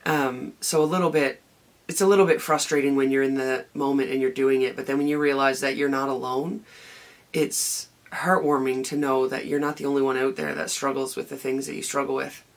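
A woman in her forties with a hoarse, raspy voice, chatting casually in her home vlog as a knitting podcaster, rambling with many ums and restarts. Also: rumbling low, fairly even intonation, brisk. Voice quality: gravelly. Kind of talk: casual daily vlog chat. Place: home craft room with shelves, indoors.

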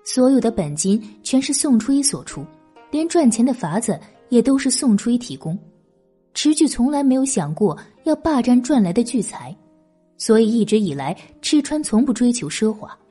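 Female audiobook narrator for a historical novel. Mellow, husky, a touch high. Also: very animated, moderate pace, faint music behind.

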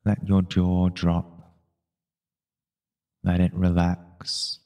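A man leading a guided meditation, giving calm spoken instructions in short, unhurried phrases with long pauses. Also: low-pitched, smooth.

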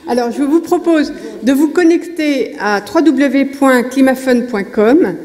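A woman's voice: sits high, a little slow, a little mellow. A middle-aged woman giving a conference talk at a lectern, hesitant with many fillers and restarts. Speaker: conference speaker. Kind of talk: conference presentation. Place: conference hall stage at a lectern. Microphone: lectern microphone. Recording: long hall reverb.

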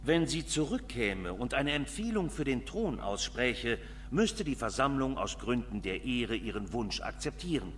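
Dignified old dwarf lord. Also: male voice, medium pitch, very animated, fairly bright tone, slight background noise. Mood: grave, explanatory. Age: older. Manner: formal, measured, stern. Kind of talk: video game voice acting.

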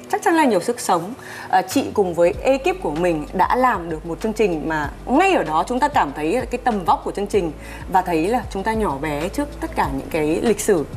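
A woman in her thirties hosting a morning TV studio interview on a lapel mic, asking polite, formal, measured questions. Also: mid-range voice, a little mellow. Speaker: TV host. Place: TV studio set.

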